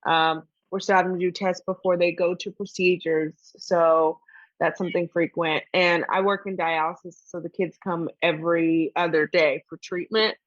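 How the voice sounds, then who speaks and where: A woman who works in pediatric dialysis, talking thoughtfully with frequent "um" pauses. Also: on the low side, level tone, dry recording, highs cut off. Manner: thoughtful, measured, with frequent "um" hesitations. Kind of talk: casual conversation on a podcast.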